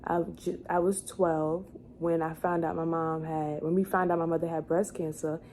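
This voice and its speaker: A woman's voice: low voice, flat delivery, normal speed, a little mellow. A woman in her twenties chatting casually as a vlogger, rambling as she thinks aloud and corrects herself. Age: 20s. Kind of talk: casual chat, answering questions to camera. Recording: clearly noisy room ambience.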